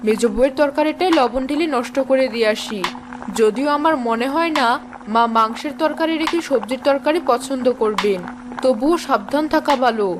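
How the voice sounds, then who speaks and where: A woman's voice: high-pitched, moderate pace, background noise, natural voice. A woman playing a boastful, devious daughter-in-law in a cartoon fairy tale. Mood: sly.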